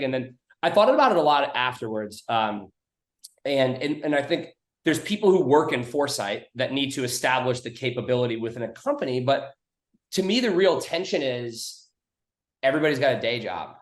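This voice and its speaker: A man hosting a podcast in loose casual chat, with frequent restarts and swearing. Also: mid-range voice. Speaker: podcast host. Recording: roomy echo.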